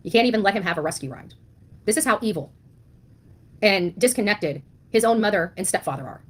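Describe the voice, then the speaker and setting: A woman's voice: mid-range voice, lively intonation, a little fast, fairly bright tone. A woman delivering an impassioned monologue as an online commentator, blunt and indignant, leaning on rhetorical questions and emphatic repetition. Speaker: online commentator on a missing-child case.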